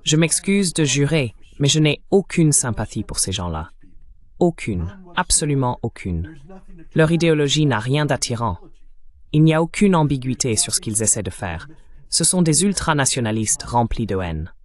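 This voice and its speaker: Guy doing voice-over translation of political commentary, very animated intonation, mid pitch. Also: normal speed. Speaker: voice-over translator of an interview guest.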